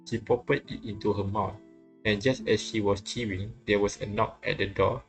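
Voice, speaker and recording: A man reading visual novel text aloud in a halting way, often stumbling and repeating phrases. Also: fairly low pitch, level tone, vocal fry, faint music behind. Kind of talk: halting read-aloud narration of game text.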